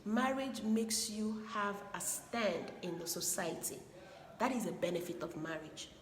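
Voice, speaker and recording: A woman's voice: mid-range voice, lively intonation, a little slow. A woman from Igbo land gives earnest, preachy advice on marriage and repeats her points for stress. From Igbo land, Nigeria. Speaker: relationship advice speaker. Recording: echoey room with long reverb and faint background hiss.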